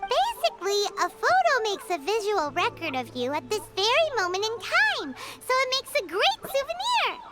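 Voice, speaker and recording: A woman voicing a small, cheerful, childlike fairy companion in a video game, chatty and bubbly with a squeaky voice. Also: huge pitch swings, moderate pace, few pauses, exaggerated voice. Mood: upbeat, helpful. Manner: bubbly, exclamatory. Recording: music playing behind the voice.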